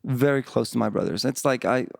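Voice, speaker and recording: A middle-aged man with a Chilean accent speaks English as a second language, his voice at an ordinary pitch with a gravelly fry running through it. He tells a personal story haltingly, full of fillers and restarts. The sound is close and dry, with no room echo. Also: darkish tone. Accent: Chilean Spanish. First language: Spanish. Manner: halting, many fillers and restarts, frequent laughs. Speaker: worship pastor, interview guest.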